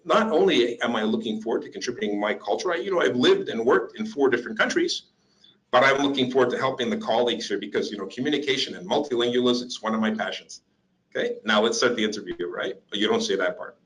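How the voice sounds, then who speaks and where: A man giving a career workshop to job seekers, lively and joking as he acts out little interview scenes. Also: medium pitch, quick pace, low-quality recording.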